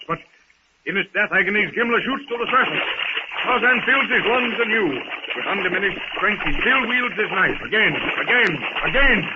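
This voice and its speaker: A man giving dramatic scripted narration for a 1930s radio serial, urgent, suspenseful and declamatory. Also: sits high. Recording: muffled, noisy 1930s radio recording.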